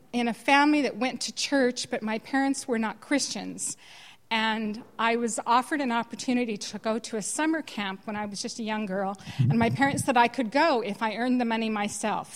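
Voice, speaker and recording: An older woman from California with an American accent, sharing her personal testimony to a church congregation, often clearing her throat. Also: on the high side. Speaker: guest speaker sharing testimony. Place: church hall. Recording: long echo of a church hall.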